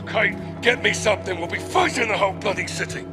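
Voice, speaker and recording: An actor playing a decisive veteran British special forces captain giving clipped, authoritative orders in a video game. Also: male voice, high-pitched, fast talker, over music, lightly acted. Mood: urgent command. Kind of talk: video game military drama.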